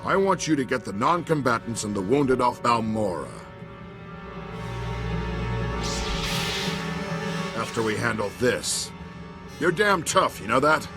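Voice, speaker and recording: An actor playing a weary, honorable older military commander in a video game, gruff and plain-spoken. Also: male voice, mid-range voice, sing-song, normal speed, over loud music. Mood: gruff, resolute. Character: weary, gruff, honorable old army marshal. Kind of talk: dramatic video game voice acting.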